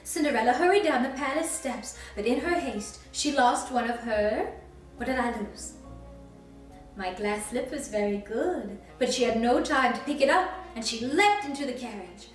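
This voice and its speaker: A woman's voice: fairly high pitch, expressive, normal speed. A woman reading a storybook expressively to young children as a princess character, sweet and encouraging, inviting them to join in. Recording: very echoey, distant mic, faint music.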